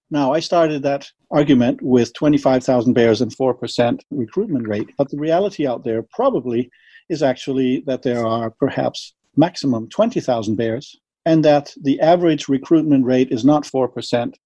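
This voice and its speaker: A man from Denmark with a Danish accent, speaking English as a second language in an interview, measured and laying out his argument step by step with numbers. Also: mid-range voice, mellow, dry recording. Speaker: interviewee, wildlife advocate. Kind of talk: earnest explanatory interview talk.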